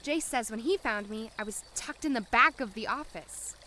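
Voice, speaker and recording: A woman playing an anxious, defiant doctor in an audio drama, speaking in a halting, on-edge way. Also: a little high, a loud crowd, lightly acted. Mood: uneasy. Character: anxious, defiant young female doctor, haunted and agitated.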